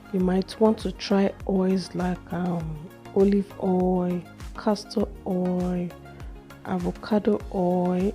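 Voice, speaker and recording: A young woman with a slightly hoarse voice, chatting slowly through a hair care vlog in a small room. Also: lowish voice, dark tone. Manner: slow, chatty explaining with fillers. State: a little hoarse from a cold. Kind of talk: casual how-to vlog.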